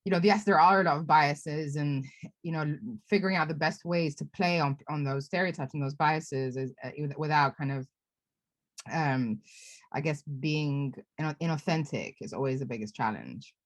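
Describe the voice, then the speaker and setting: A woman's voice: low voice, moderate pace, no room sound. A woman of Lebanese origin in her thirties, a film director answering fan questions on a video call, chatty and self-reflective with frequent laughs and restarts. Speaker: film director being interviewed.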